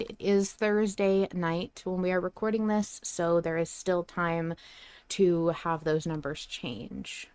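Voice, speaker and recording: A woman's voice: lowish voice, a little mellow, clear, resonant tone, dry recording. A woman hosting a podcast, talking casually and with plenty of opinions in a fan discussion. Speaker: podcast host.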